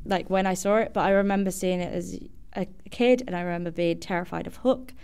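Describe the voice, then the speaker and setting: A woman's voice: on the low side, fairly even intonation, a little fast, a little mellow, smooth. A female student chatting casually as a guest in an interview.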